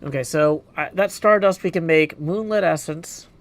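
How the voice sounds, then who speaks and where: A man's voice: on the high side, moderate pace. A man doing casual gaming commentary as a live streamer, thinking aloud playfully and reading chat.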